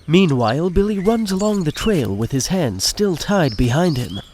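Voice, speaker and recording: A man's voice: a little high, sing-song, moderate pace, darkish tone, a little hiss or hum. A man narrating a scripted comic audio drama in a wry storytelling manner. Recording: music behind the voice.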